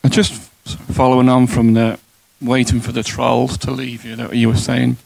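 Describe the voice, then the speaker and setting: Gravelly man asking from the floor. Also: mid-range voice, flat delivery, normal speed, darkish tone, dry recording, low-quality recording. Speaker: audience member, comedy promoter.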